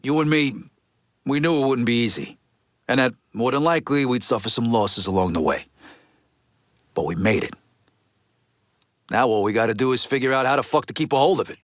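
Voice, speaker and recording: An actor playing a gruff, impatient middle-aged American mob underboss in a video game, with blunt, profane, streetwise talk. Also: male voice, mid-range voice, lively intonation, a little fast, long pauses, no echo, clean studio sound, natural voice. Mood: earnest. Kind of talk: video game dialogue acting.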